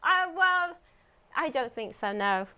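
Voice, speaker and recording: A woman's voice: high voice, very animated, slow, pushed and bright. A middle-aged woman from England with a southern English accent, chatting as a guest in a TV interview, giving short answers with a dry, self-mocking humor. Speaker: guest, ghost walk volunteer. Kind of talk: casual interview chat. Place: local TV studio.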